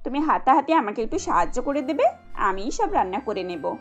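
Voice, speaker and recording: A woman voicing a caring middle-aged mother-in-law in a cartoon, motherly and gently insistent. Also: a little high, big pitch swings, brisk, faint music behind. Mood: reassuring.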